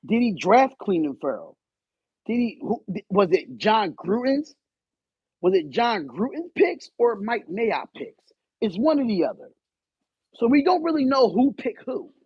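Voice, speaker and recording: A man hosting a live stream, loud and animated, swearing and arguing back at his chat. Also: high voice, measured pace, husky, no echo, dull audio. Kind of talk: casual live stream rant.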